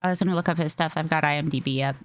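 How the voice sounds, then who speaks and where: Low-voiced woman, casual and expressive. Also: very fast, dry recording, studio quality. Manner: anecdotal, profane, expressive. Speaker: podcast co-host. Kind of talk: casual film review chat.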